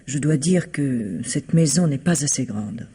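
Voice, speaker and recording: An actress playing a guarded, well-bred bereaved fiancée in a radio mystery, polite and measured, with precise phrasing. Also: female voice, very deep voice, lively intonation, warm tone, husky, full character voice. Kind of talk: French radio mystery play acting.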